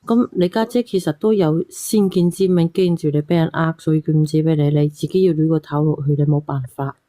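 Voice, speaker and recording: A woman's voice: sits low, fairly even intonation, a little fast, dark tone, no echo. A woman on a call-in panel, arguing bluntly and scolding in casual talk. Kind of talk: argumentative casual commentary.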